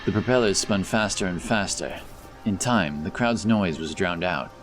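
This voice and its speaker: A man with a deep voice narrates a scripted fantasy tale. He serves as storyteller of an audio drama, with light music underneath. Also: moderate pace.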